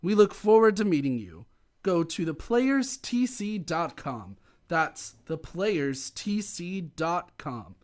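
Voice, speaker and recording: A man's voice: high-pitched, very animated, slow pace. A man reading a scripted promotional announcement as a spokesman for a community theater.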